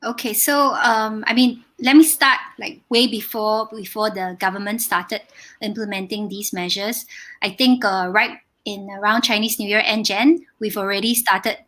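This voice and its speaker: A woman from Singapore, a restaurant group owner speaking as a panel guest with frequent filler pauses. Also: medium pitch, steady tone, fairly bright tone. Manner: measured, many filler pauses. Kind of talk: panel discussion.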